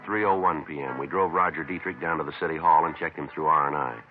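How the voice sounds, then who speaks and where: An actor playing a terse, unflappable American police detective in a 1950s radio drama, clipped and businesslike. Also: male voice, very deep voice, fairly even intonation, fast, loud music behind the voice. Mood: deadpan, procedural. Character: terse, unflappable male police detective narrating his case. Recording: tinny, noisy 1950s radio recording.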